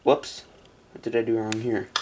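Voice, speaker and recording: A man chatting casually and haltingly as he unboxes a gadget for a video, partly talking to himself. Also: on the low side, monotone, darkish tone. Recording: clear background noise, crinkling and clicks. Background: handling noise, crumpling and clicks.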